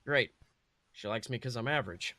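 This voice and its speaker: A man's voice: mid-range voice, normal speed, sharp tone, no echo, voice pushed down, close to an everyday voice. A man voicing a cocky, joking billionaire superhero with glib, casual banter in a story reading. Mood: muttering, deflated.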